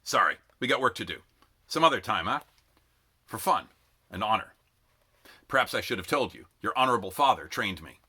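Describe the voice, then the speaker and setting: A man's voice: lowish voice, animated, fairly quick, long pauses, pushed and bright, breathy edge, no room sound, clean studio sound, full character voice. A man reading a story, playing a tough, commanding male army drill sergeant who gives clipped, barking orders. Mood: brisk, friendly.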